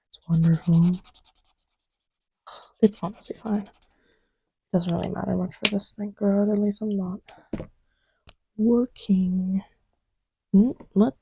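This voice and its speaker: A woman playing an easygoing girlfriend in a soft ASMR roleplay, rambling hesitantly in a breathy murmur that often drops to a whisper. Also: mid-range voice, full character voice, low-quality recording. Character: easygoing girlfriend chatting idly while testing markers.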